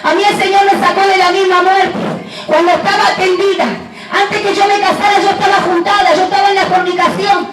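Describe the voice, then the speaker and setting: A middle-aged woman evangelist, preaching a fiery sermon in a shouting, half-singing cadence. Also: very high voice, little pitch movement, brisk. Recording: echoey live church recording, far from mic. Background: music and congregation.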